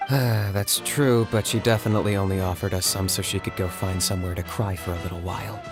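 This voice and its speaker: A man plays a responsible young warrior in a video game, cool and dry. His lowish voice is laconic and matter-of-fact, with music clearly behind it. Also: steady tone, fairly quick, natural voice. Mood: dry, gentle.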